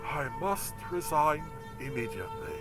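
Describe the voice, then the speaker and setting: A man acts a solemn, honour-bound lieutenant in a space audio drama. The voice is raised in pitch, formal and grave. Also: huge pitch swings, a little slow, a bit bright, faint music behind, heavily acted. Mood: solemn, resigned.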